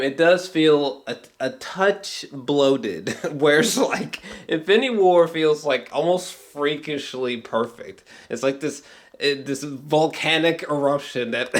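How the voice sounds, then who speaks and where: A man giving a casual, unscripted vlog review as an excitable, sweary movie fan who rambles, repeats himself and trails off mid-thought. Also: medium pitch. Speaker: amateur movie reviewer vlogger.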